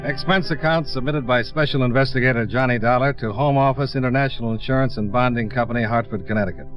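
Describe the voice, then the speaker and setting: An actor playing a businesslike insurance investigator in a 1950s radio drama, clipped and matter-of-fact. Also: male voice, mid-range voice, fast talker, over music, natural voice. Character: cool, businesslike male freelance insurance investigator. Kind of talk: 1950s radio drama acting and first-person narration. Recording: dull old radio recording.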